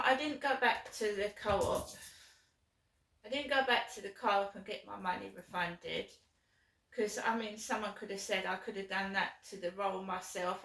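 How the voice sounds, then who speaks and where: Slow-talking woman in an echoey room. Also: mid-range voice, sharp tone. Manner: rambling, aggrieved, with many ums. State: sore throat. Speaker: vlogger. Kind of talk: casual chat to camera. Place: small flat, indoors. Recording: echoey room, mic at a distance.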